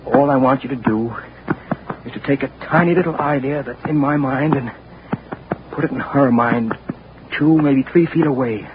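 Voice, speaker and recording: A man's voice: medium pitch, moderate pace, long pauses, close to an everyday voice. An actor in a radio drama playing a wounded man trapped in a silent body, pleading urgently in his own thoughts. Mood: praying. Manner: pleading, urgent, talks to himself. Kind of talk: 1940s radio acting, inner monologue. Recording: muffled old radio recording with hiss.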